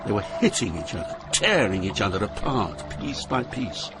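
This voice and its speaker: An older actor with a British accent playing a grave poet, giving solemn, measured narration in an audio drama. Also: male voice, lowish voice, big pitch swings, over music. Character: grave older poet recounting his journey through hell.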